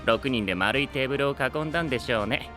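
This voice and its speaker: An actor playing a teasing, courteous knight who tells stories in polite, playful and grandiose speech in an anime audio drama. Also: male voice, medium pitch, fast talker, long reverb tail, music in the background, close to an everyday voice. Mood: delighted. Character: theatrical, teasing, courteous male knight storyteller.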